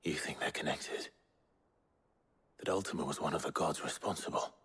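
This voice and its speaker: An actor playing a brooding but kind warrior leader in a video game, speaking in a terse, grave and earnest way. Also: male voice, extremely low pitch, moderate pace, a bit bright, breathy edge, heavily acted. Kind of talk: dramatic video game acting. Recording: faint game music underneath.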